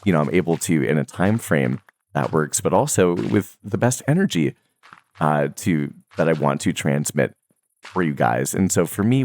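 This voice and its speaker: A young man who makes Minecraft videos, talking casually to his viewers in a relaxed, rambling way with many fillers and soft laughs. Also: low voice, dry recording, studio quality. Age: young adult. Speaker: Minecraft content creator.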